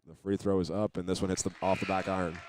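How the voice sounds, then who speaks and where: A man doing live play-by-play commentary of a high school basketball game as the announcer. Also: level tone, fast talker. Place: indoor high school gymnasium. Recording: noisy gym ambience behind.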